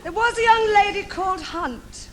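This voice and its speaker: A man playing a boyish, cheeky pantomime hero with a broad, drawn-out theatrical delivery in a comic stage show. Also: very high-pitched. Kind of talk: adult pantomime stage comedy. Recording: very noisy theatre with loud audience.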